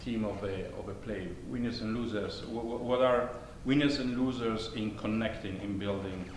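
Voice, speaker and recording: Italian-accented man on an echoey stage. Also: lowish voice. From Italy. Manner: thoughtful, halting, many restarts, gesturing. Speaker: panelist, conflict negotiator. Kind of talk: onstage discussion. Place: theater stage, seated. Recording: echoey stage with faint noise.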